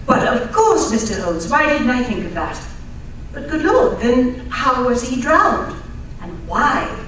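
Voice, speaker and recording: A woman's voice: fairly high pitch, big pitch swings, slow, a bit bright, exaggerated voice. A woman playing a polite, helpful, well-bred lady in an old-time radio drama, speaking in a genteel, earnest manner. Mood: intrigued. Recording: echoey live stage, distant mic, faint noise.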